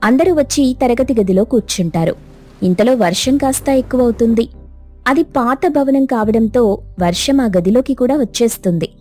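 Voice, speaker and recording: A woman narrating a cartoon story in a steady, plain storytelling manner. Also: a little high, moderate pace, soft background music.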